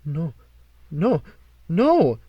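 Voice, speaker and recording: A man's voice: a little high, wildly swooping pitch, very slow, dry recording, lo-fi sound, full character voice. Man acting a vain, melodramatic fallen god teenager. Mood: outraged despair. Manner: theatrical, indignant, whiny. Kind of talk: first-person audiobook character acting.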